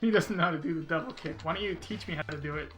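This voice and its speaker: A man's voice: fairly high pitch, rapid-fire, a bit bright, faint music behind. A man streaming a video game, chatting casually with viewers and thinking aloud in a rambling, unsure way. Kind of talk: casual live-stream chat while playing.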